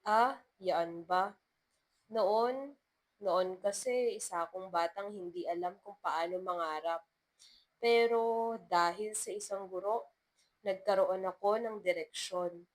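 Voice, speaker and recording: A woman storyteller voicing a sincere young male teacher in love, gentle and hesitant. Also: medium pitch, slow pace, long pauses, natural voice, poor audio. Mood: reflective.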